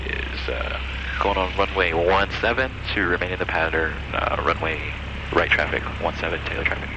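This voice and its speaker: Man talking through flight, loud engine noise. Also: sits low, steady tone, moderate pace. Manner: clipped, procedural phrases. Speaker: student pilot and vlogger. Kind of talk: self-narrated cockpit checklist talk and radio calls. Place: small airplane cockpit in flight. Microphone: aviation headset. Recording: loud aircraft engine noise, dull band-limited headset audio.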